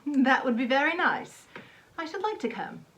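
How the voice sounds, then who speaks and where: A woman's voice: high voice, sing-song, normal speed, fairly bright tone, a little hiss or hum. A woman playing a prim, shy, proper unmarried librarian in a dramatized novel reading, speaking politely and with restraint.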